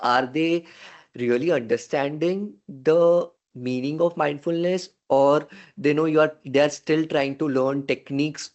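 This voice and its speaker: A man's voice: on the high side, fairly even intonation, a little slow, a little mellow, lo-fi sound. A man hosting a conversational interview, often agreeing and affirming. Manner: frequently echoes and affirms the guest. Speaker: interviewer, podcast host.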